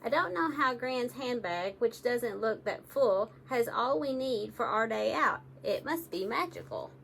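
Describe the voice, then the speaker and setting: A woman reading picture books aloud to young children in an animated, engaging way, often addressing her listeners directly. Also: a little high, a little slow, vocal fry, a little hiss or hum, low-quality recording.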